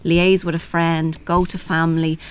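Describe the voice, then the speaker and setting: A woman's voice: deep voice, little pitch movement, normal speed, a little hiss or hum, poor audio. An Irish woman explaining things as a helpline representative in a panel discussion, often adding "you know".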